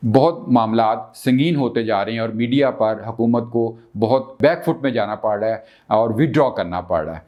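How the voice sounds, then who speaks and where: Pakistani man giving halting, deliberate commentary. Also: mid-range voice, a little mellow. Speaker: show host and political analyst. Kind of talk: political commentary and storytelling to viewers.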